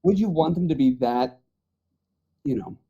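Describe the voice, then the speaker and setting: A man's voice: a little high, animated, measured pace, dark tone, slightly rough. A man chatting casually and informally on a podcast panel. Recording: dull, muffled audio.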